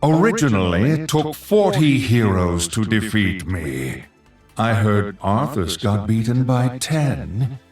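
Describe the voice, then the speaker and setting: A man's voice: lowish voice, big pitch swings, takes it slowly, darkish tone, recorded from a distance, soft background music. A man voicing a pompous, sardonic undead archlich sorcerer for a video game, with theatrical menace, dry asides and a hollow echo. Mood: wry, smug. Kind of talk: video game character voice lines.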